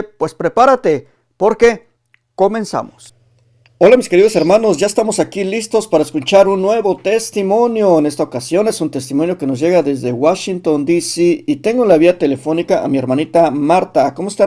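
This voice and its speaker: High-voiced man hosting with urgent appeals. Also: expressive, a little fast, warm tone. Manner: urgent, exhorting, direct address to viewers. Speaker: host of a testimony channel. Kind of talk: religious phone-in testimony interview.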